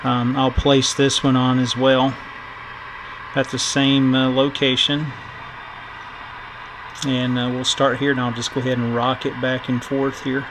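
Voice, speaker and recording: Man, middle-aged, monotone delivery, halting casual tutorial, thinking aloud, noticeably noisy recording. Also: mid-range voice. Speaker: host of radio repair videos. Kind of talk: casual hands-on electronics tutorial. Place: indoor workbench. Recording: clear background noise.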